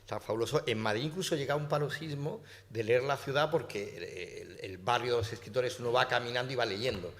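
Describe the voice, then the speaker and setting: A man's voice: medium pitch, brisk, few pauses, fairly bright tone. A man moderating a literary panel, prompting the panelists and addressing them by name. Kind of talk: moderating a round-table discussion. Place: lecture hall at a public panel. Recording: reverberant hall.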